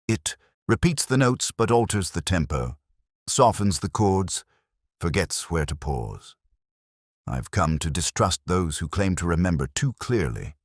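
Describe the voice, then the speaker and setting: A man playing a weary, introspective English police detective, recounting a case in measured, literary first-person narration. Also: rumbling low, dry recording, studio quality, exaggerated voice. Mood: wistful, reflective.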